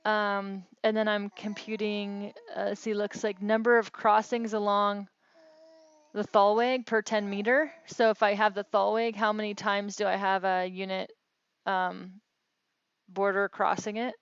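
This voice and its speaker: A woman's voice: mid-range voice, flat delivery, measured pace, clean tone. A woman casually explaining technical work as a researcher on a phone call. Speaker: researcher explaining mapping metrics. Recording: slightly dull, lo-fi phone call recording.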